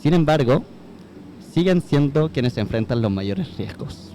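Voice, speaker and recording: A man moderating a live conference panel, courteous and brisk as he hands the microphone from speaker to speaker. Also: on the high side, lively intonation, mellow, a little hiss or hum, poor audio. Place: conference venue.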